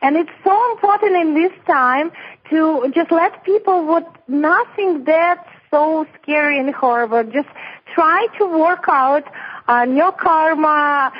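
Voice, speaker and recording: A woman with a Russian accent, native speaker of Russian, calls in to a radio show in halting English, choked with emotion and laughing nervously. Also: very high-pitched. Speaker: radio call-in listener. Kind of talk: phone call to a radio show. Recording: muffled phone line on radio.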